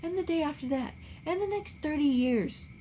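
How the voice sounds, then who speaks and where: A woman's voice: high voice, animated, moderate pace, mellow, slight background noise, pitched above the performer's own voice. A woman reading aloud who voices a restless, wistful young wealthy woman with a pleading drawl.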